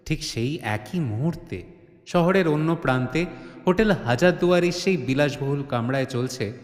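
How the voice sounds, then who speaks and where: A man delivering scripted, dramatic narration as the storyteller of an audio drama. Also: mid-range voice. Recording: echoey reverb on the voice.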